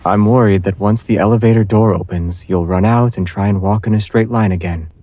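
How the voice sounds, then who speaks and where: A man acting a calm, protective young man with understated, gently teasing deadpan delivery in a romantic audio drama. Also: lowish voice, brisk, no echo, close to an everyday voice. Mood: dryly teasing.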